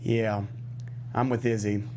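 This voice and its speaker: A man's voice: lowish voice, little pitch movement, takes it slowly, darkish tone. A man playing a mild, resigned young political intern in a comedy table read. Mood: mild, casual. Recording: faint room noise and hum.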